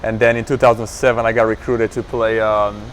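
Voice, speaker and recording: A man's voice: fairly low pitch, level tone, fairly quick. A man in his thirties from Romania, answering questions in a casual interview in an easygoing, modest way. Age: 30s. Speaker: interview guest, professional pickleball player. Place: outdoors at a tournament venue. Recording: outdoor urban setting with faint background noise.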